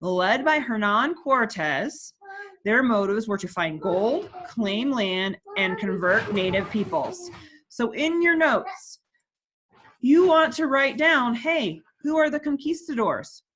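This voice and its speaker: A woman's voice: fairly high pitch, animated, takes it slowly, a bit bright. A woman teacher reading a textbook section aloud and stopping to explain terms and put questions to her class.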